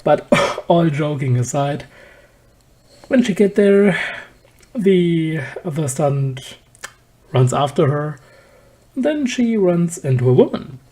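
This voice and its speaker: A person giving a casual, halting episode review as an anime reviewer, in slightly non-native phrasing. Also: mid-range voice, animated, mellow.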